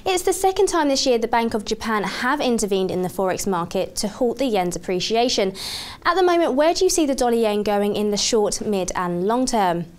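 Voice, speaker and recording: A woman's voice: fairly high pitch, lively intonation, fairly quick. A woman presenting financial news and putting questions to a guest in a scripted, formal newsreader manner.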